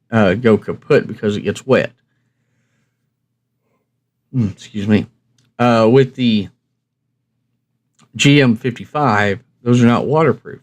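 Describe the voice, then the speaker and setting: A man hosting a review channel, chatting casually and instructively to viewers in a rambling, folksy, plain-spoken way. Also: fairly low pitch. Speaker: host of a radio review channel.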